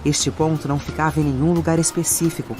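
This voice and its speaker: A woman's voice: low voice, steady tone, quick pace. A woman with a Brazilian accent reading scripted audiobook narration. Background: music and added sound effects.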